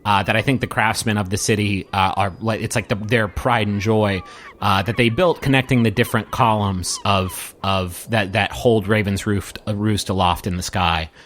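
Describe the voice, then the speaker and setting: A man working as game master, improvising tabletop game narration and addressing the player directly in a halting, searching way. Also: fairly low pitch, fairly even intonation, fairly bright tone, slight background noise.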